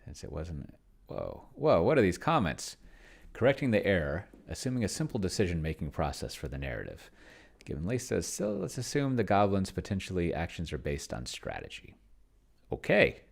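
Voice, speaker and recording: Very deep, gravelly man thinking aloud. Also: wildly swooping pitch. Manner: rambling, muttering to himself, slowly reading aloud as he types. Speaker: solo tabletop role-player demonstrating a game. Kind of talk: casual think-aloud screen commentary.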